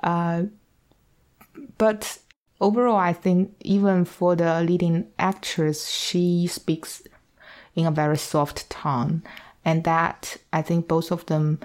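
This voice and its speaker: A woman's voice: on the low side, little pitch movement, slow, a little mellow. A woman in a casual film discussion, speaking as a panelist with thoughtful, hesitant phrasing.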